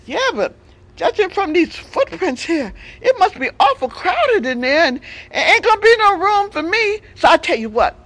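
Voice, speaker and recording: Storyteller putting on a polite sharp-witted fox, pitched up high. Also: big pitch swings, moderate pace, full character voice. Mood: wary. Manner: polite, wary. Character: clever cautious male fox.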